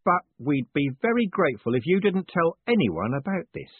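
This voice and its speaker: A man's voice: on the high side, very animated, moderate pace, husky, dry recording, voice pushed up, exaggerated voice. A man voicing a sensible, guarded ringleader in an audiobook, speaking politely. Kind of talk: audiobook character voice. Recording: narrow phone-like band.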